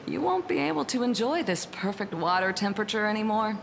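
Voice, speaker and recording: Lively, teasing, dangerous commander. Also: female voice, medium pitch, fairly quick, creaky, slight background noise. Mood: amused, menacing. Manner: playful, mocking. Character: teasing, unruffled, menacing woman military commander. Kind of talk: video game character acting.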